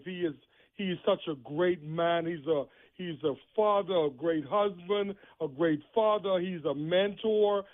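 High-voiced man on a phone line. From Bahamas. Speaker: show co-host, radio interview guest. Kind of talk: conversational testimony on religious radio.

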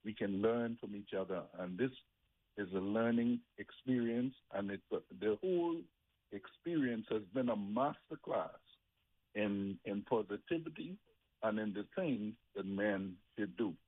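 Husky man on a tinny phone line. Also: lowish voice, unhurried, no echo. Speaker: religious show co-host, interview guest. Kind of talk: conversational promotion of a faith show.

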